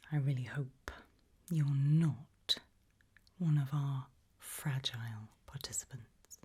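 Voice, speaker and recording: Woman doing asmr questionnaire, low soft whisper, super breathy, kinda creepy and deliberate. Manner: slow, deliberate, gently ominous interviewer. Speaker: ASMR roleplay host.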